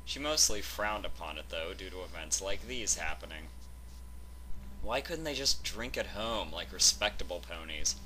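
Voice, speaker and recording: A man narrates a piece of fan fiction from a script. His voice sits a little low yet is strikingly bright and forceful, with faint noise in the recording. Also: animated, moderate pace, low-quality recording.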